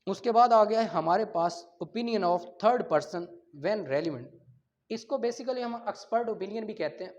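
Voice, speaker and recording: A high-voiced man with a Pakistani accent teaches law in a didactic, explanatory way. He moves between statute wording and plain examples, posing questions as he goes. The recording is somewhat muffled and lo-fi. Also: expressive, measured pace. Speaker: law lecturer. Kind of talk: exam-prep lecture, explaining and reading out statute articles. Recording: dull, lo-fi lecture audio.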